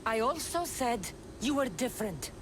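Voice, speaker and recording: A woman's voice: a little high, sing-song, normal speed, noisy background. A woman voicing a tough, level-headed warrior woman in a video game, frank and firm in manner. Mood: reassuring. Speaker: investigator and fighter. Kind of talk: video game cutscene acting.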